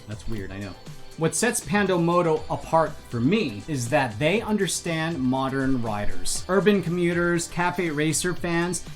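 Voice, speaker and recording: A fairly high-voiced man chats his way through an unboxing, thinking aloud and tossing in quick asides. Faint music plays underneath his talk. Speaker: motorcycle vlogger. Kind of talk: casual product unboxing. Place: indoor bedroom.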